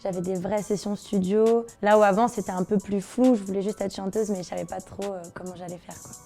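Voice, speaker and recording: A young woman from Brazil, a singer, answers interview questions in a relaxed, slangy way and often trails into hesitations. Her voice is warm and mellow, at a middling pitch. Soft music plays faintly behind her. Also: fairly quick. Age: 20s.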